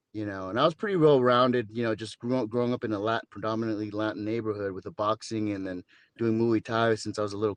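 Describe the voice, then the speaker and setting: A man's voice: mid-range voice, clear, resonant tone, dry recording. A man in his fifties from Southern California, telling his story as a podcast guest with dry jokes and rambling asides. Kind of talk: casual interview talk.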